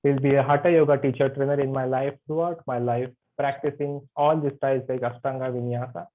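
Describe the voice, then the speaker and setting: A man introducing himself as a yoga anatomy teacher in an online class, halting with many fillers. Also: mid-range voice, flat delivery. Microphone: video call. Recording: tinny video call audio.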